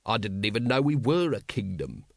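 A man's voice: fairly low pitch, big pitch swings, normal speed, creaky, no echo, clean studio sound, exaggerated voice. A man voicing a gloomy, exasperated city guard captain with an English accent in an audiobook. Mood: gloomy, puzzled.

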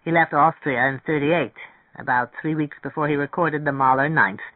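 A man reading a story, playing a cultured, opinionated middle-aged music connoisseur with a measured, knowing manner. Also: a little high, steady tone, no room sound, phone-quality sound, lightly acted. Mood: knowing, amused.

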